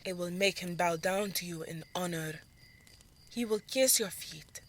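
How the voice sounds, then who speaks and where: A woman's voice: lowish voice, a little slow. A woman voicing a fiery, bossy elder sister who dances, with a commanding, insistent delivery in an audio drama. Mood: stern, instructing.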